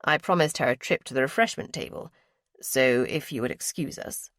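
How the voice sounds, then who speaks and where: A woman voicing a dry, lowered young nobleman. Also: normal speed, fairly bright tone, no echo. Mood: polite. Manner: dry, polite. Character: young nobleman, reserved and wry.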